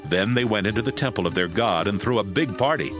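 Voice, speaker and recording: A man narrating a dramatized Bible reading in a steady, even storytelling manner. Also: on the low side, fast talker, over music.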